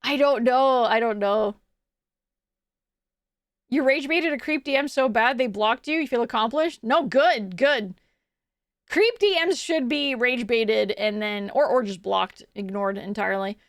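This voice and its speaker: A woman's voice: fairly high pitch, unhurried, no room sound, clean studio sound. A young adult woman streaming from home, chatting casually with her chat. She rambles, restarts her sentences often and laughs a lot.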